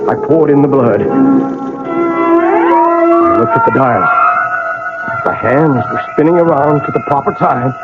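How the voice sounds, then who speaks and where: An actor playing an obsessed, guilt-ridden male clockmaker in a radio drama, with an intense, halting, theatrical delivery. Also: medium pitch, lightly acted. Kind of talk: 1980 radio drama acting, first-person narration. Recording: lo-fi radio broadcast, music behind.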